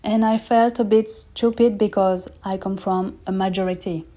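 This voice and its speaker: Mellow-voiced French woman in her thirties. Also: medium pitch, steady tone, moderate pace. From France. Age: 30s. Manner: candid and earnest, in non-native English with grammar slips. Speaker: podcast host. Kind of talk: personal podcast monologue.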